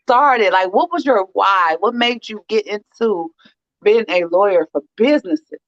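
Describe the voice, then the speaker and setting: A woman's voice: mid-range voice, unhurried, dry recording. Encouraging woman host, dull dry sound. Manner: encouraging, informal phrasing. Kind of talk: casual interview chat.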